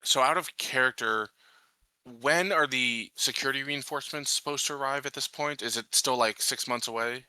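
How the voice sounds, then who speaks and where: A man's voice: mid-range voice, normal speed, sharp tone, smooth, no room sound, low-quality recording. A man playing a tabletop roleplaying game, talking through plans and questions in casual chat. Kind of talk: casual in-game planning talk.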